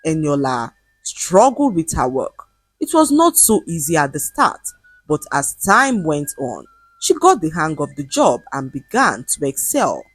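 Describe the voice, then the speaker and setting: Woman storyteller, lively rise and fall, a bit husky, soft music under. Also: sits low, no room sound. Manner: measured, expressive storytelling. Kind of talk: scripted folktale narration.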